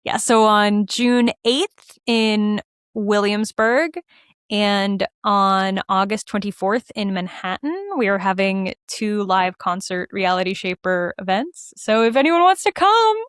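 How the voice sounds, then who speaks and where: A woman gives a casual podcast interview as a guest. Her voice is smooth and clean at a medium pitch, and her manner is upbeat and chatty. The recording is close and dry. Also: slow, fairly bright tone, no room sound. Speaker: podcast guest, writer and performer.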